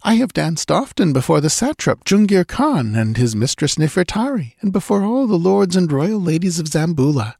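A man's voice: on the high side, big pitch swings, moderate pace, creaky, few pauses, a little mellow, slightly rough, no echo, studio quality, voice pushed up, full character voice. A man voicing a frightened young woman, a dancing girl who pleads breathlessly. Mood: pleading.

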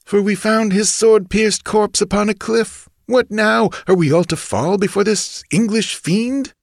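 A man's voice: high voice, unhurried, creaky, dry recording, studio quality, pitched above the performer's own voice, exaggerated voice. A man voicing a frightened, superstitious male bandit who babbles nervously. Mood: anxious. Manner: jittery, babbling.

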